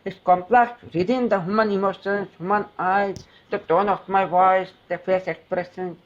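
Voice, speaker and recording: A man's voice: sits high, fairly even intonation, moderate pace. A man answering interview questions in an earnest, hopeful way. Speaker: guest. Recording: dull lo-fi sound.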